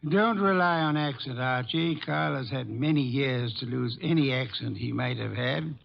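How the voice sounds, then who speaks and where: Gravelly, commanding master detective. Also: male voice, medium pitch. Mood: exacting, patient. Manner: deliberate, authoritative, precise phrasing. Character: brilliant, commanding middle-aged male master detective, exacting and stubborn. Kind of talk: old-time radio drama acting. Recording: dull, lo-fi old radio recording.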